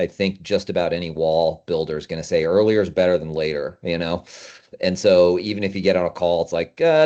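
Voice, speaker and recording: A man's voice: sits low, darkish tone, dull audio. A middle-aged man speaking as a podcast guest, explaining things in an informal, conversational way. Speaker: podcast guest, industry consultant.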